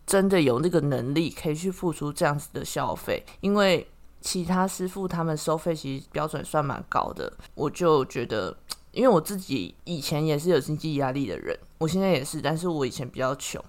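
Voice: medium pitch, expressive, a little fast. A podcast host with a Taiwanese Mandarin accent, chatting casually while explaining things in a reflective way. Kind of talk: casual chat.

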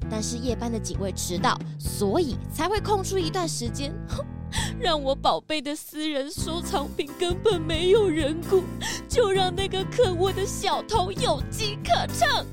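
A gushing, squeaky-high rich lady pelican. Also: animated, unhurried, over music. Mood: indignant, whiny. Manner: gushing, coy, dramatic. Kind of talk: children's audio drama acting.

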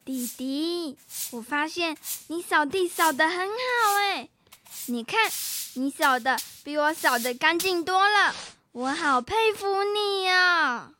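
A woman's voice: extremely high pitch, expressive, slow pace, bright, forceful tone, heavily acted. A young girl acting in a children's radio drama as a bossy, scheming big sister. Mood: coaxing. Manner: bossy, coaxing.

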